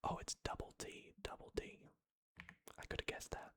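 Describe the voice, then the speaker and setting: An ASMR creator whispering softly and hesitantly while typing, muttering and correcting themselves as they go. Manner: soft unvoiced whisper, slow and hesitant. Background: keyboard typing.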